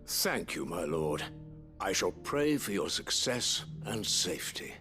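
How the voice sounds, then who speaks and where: A man's voice: sits low, sing-song, slow pace, heavily acted. An actor playing a deferential spymaster advising his lord in a fantasy video game, formal and courteous in manner. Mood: grave, respectful. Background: music.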